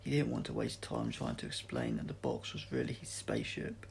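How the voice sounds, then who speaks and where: A man's voice: rumbling low, wildly swooping pitch, fairly quick, creaky, a little hiss or hum. A man reading a story aloud as an audiobook narrator, in an amateur style with occasional stumbles. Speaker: audiobook reader.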